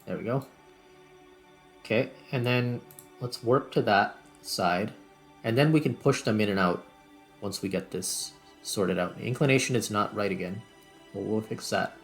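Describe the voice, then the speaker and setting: A man's voice: fairly low pitch, normal speed. A man giving casual gameplay commentary, thinking aloud as he goes. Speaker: gaming video host. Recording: music playing behind the voice. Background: background music.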